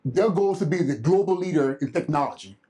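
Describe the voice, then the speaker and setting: A man co-presenting at a live conference, speaking with a big, forceful voice and a sense of urgency. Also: a little high, expressive, quick pace. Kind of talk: live summit talk to an audience. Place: conference room with a live audience.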